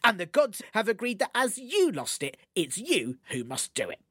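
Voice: medium pitch, huge pitch swings, normal speed, sharp tone, husky, no room sound, clean studio sound, heavily acted. A storyteller doing Loki, a sly trickster god. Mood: persuasive. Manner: scheming, persuasive, amused.

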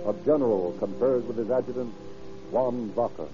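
A man's voice: mid-range voice, moderate pace, over music. An American man announcing and narrating a scripted 1930s radio program in a formal, declamatory manner. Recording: dull old radio broadcast recording.

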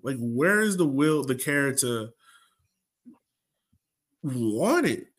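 A man's voice: medium pitch, sing-song, extremely slow. A man hosting a sports podcast in a casual, colloquial way, sounding exasperated and throwing out rhetorical questions. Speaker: podcast host.

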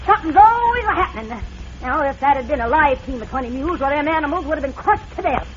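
A woman's voice: high-pitched, expressive, quick pace, muffled recording. An actress playing a cantankerous, boisterous old American frontier woman boss in a radio western, with a folksy, blustering drawl. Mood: boastful, lively. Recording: noisy, band-limited vintage radio recording.

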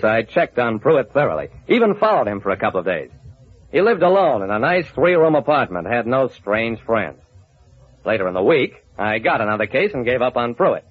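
A shrewd, hard-boiled detective from vintage radio. He sounds husky and confident, with lively, wry delivery, on a muffled narrow-band recording. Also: mid-range voice. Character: shrewd, hard-boiled male investigator telling his case. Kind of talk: old-time radio detective narration and acting.

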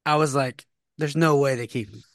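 A man's voice: medium pitch, steady tone, normal speed, no echo, studio quality. A man co-hosting a casual sports podcast, rambling and repeating himself as he talks. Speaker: podcast co-host.

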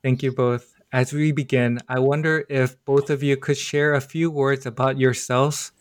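A man hosts a podcast interview and reads out a formal, measured introduction of his guests. His voice sits at an ordinary middle pitch. The sound is dry and close, with no room around it. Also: flat delivery, clear, resonant tone, no echo. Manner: measured, formal delivery with occasional slips. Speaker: podcast host.

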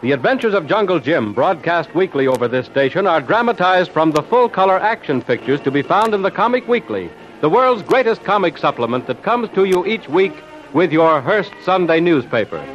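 A man's voice: fairly high pitch, sing-song, a little fast, few pauses, soft background music. A man announcing and narrating an old radio adventure serial in a dramatic, emphatic style. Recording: muffled old radio broadcast.

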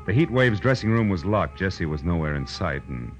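Hard-boiled detective, deep voice, American, wry, clipped, old radio drama, music behind. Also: male voice, big pitch swings, a little fast. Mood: wry narrating. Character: wry, hard-boiled male private eye. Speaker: lead actor narrating as private detective. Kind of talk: 1940s radio detective acting and narration.

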